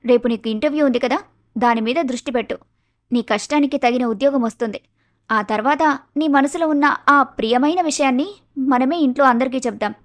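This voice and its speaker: A woman voicing a caring, wise elder sister-in-law who gently teases and reassures in an animated moral story. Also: high-pitched, fairly quick, no room sound, studio quality, natural voice. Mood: supportive. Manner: reassuring, motherly.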